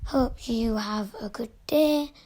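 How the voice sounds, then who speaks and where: A woman with a croaky voice, narrating her own gameplay in a chatty, rambling way with fillers and restarts. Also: on the high side, slow pace, no echo. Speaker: gaming video narrator. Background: loud game music.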